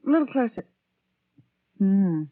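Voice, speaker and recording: An actress playing an excitable, questioning secretary in a 1940s radio drama. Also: female voice, medium pitch, unhurried. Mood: brisk, suspicious. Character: excitable, inquisitive young female office secretary. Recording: tinny 1940s radio recording.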